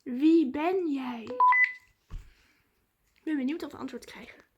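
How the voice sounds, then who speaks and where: A woman, chatting casually and excitedly to viewers as a vlogger. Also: sits high, measured pace, highs cut off, noisy background.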